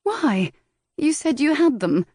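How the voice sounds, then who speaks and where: A woman's voice: sits high, sing-song, takes it slowly, dark tone, dry recording, clean studio sound, voice pushed up, exaggerated voice. A woman voicing a proper, indignant young Regency lady who speaks primly. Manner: prim and indignant.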